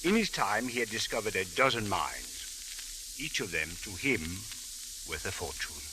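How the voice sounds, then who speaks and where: An actor playing a courteous, dignified Cairo police captain with formal, measured wording in an old radio drama. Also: male voice, on the low side, big pitch swings. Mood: calm, explaining. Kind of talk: 1940s-50s radio drama acting. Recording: hissy, crackly old radio recording.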